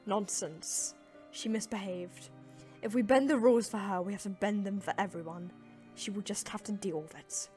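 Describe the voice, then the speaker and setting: A woman's voice: mid-range voice, moderate pace, a little mellow, lightly acted. Woman doing a strict alien queen, clipped and bossy, formal, cartoon dub w music behind. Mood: cold, firm. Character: stern, commanding female alien gem ruler. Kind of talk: animated comic dub acting.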